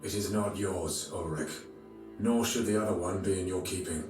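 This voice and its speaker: An actor playing a righteous, resolute knight lord, earnest and commanding, in a game cutscene. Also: male voice, sits low, fairly even intonation, moderate pace, close to an everyday voice. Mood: grave. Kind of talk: dramatic video game cutscene acting. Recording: distant, very echoey, faint music behind.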